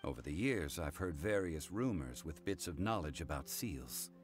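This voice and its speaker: Game role: learned, composed gentleman, very low register, formal measured delivery with expressive intonation. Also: slight background noise. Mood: composed, solemn. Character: calm learned gentleman consultant recounting old legends. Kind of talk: English video game voice acting.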